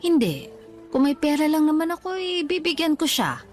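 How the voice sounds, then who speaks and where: A woman playing a forgiving, self-effacing older mother in a radio drama, meek and gently defensive. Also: high voice, big pitch swings, moderate pace, faint music behind. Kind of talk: Tagalog radio drama acting.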